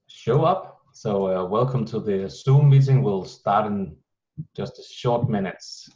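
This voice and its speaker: A man hosts a video-call meeting, welcoming everyone and running through event plans. His delivery is halting, with frequent pauses to search for words. The call audio is muffled and lo-fi. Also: lowish voice, dark tone. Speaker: host of an online team meeting. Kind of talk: welcoming, announcing event details.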